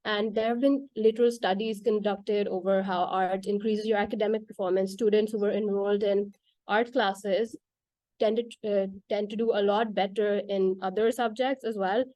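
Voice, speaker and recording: Woman, Urdu native speaker, mellow tone, dry recording, earnest casual talk. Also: medium pitch, steady tone, normal speed, no room sound, low-quality recording. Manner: earnest, with frequent "like" and "right?" fillers.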